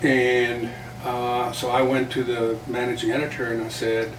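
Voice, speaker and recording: An older man from South Texas whose first language is Spanish, telling stories from his career in an unhurried, reflective way. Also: mid-range voice, monotone. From South Texas, USA. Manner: unhurried, reflective, with small chuckles. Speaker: guest, journalist and playwright. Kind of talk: relaxed storytelling interview. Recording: roomy, distant mic with faint background noise.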